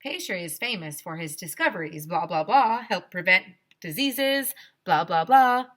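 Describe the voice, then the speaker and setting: Lady reading as a chatty ice cream guy in a kids book, bright and loud, lecturing like a know-it-all. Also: lowish voice, expressive, normal speed. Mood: emphatic lecturing. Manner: emphatic, lecturing.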